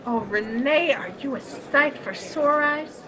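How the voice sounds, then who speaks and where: A woman's voice: sits high, slow pace, rough recording. A woman playing a harried but polite cinema owner's wife in an old-time radio play. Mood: flustered. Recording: crowd noise behind. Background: crowd of people.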